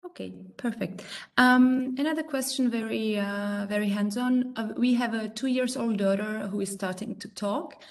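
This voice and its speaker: A woman's voice: on the high side, normal speed. A woman, a native Czech speaker, moderating a Q&A and reading audience questions with hesitant fillers.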